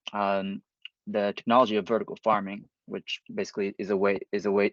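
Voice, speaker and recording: A man from Japan with a Japanese accent, introducing himself and explaining his field in a measured way as a company co-founder on a dull online call. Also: low-pitched, no echo. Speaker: company co-founder, guest speaker. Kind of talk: spoken self-introduction to an online audience.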